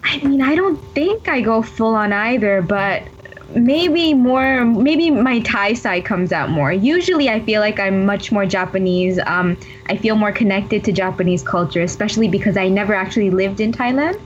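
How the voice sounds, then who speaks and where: A woman guest answering interview questions thoughtfully, pausing often with ums. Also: medium pitch, animated, highs cut off. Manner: thoughtful, hesitant with many ums.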